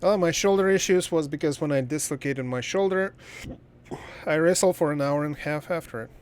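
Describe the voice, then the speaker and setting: A man's voice: a little high, fairly quick, a little mellow, smooth. A man livestreaming a game and chatting casually, in a blunt, joking and profane way. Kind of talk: casual livestream chat while gaming.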